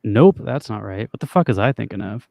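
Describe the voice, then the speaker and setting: A young man with a low, raspy voice, chatting loosely and swearing casually as a podcast co-host. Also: animated, fairly quick, warm tone, dry recording. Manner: loose and profane, trails off with fillers. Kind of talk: casual chat with guitar and singing.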